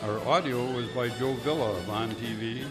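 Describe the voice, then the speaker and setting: Male radio-play announcer over loud music. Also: medium pitch, moderate pace. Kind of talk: scripted radio-play narration and credits.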